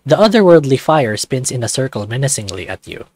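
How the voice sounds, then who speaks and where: A man's voice: mid-range voice, brisk, no room sound. Man narrating a visual novel. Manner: even, second-person storytelling.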